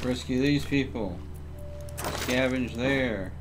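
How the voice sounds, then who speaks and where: A man's voice: mid-range voice, slow pace, over music. A man streaming a video game, giving casual commentary as he talks to himself and chats to viewers.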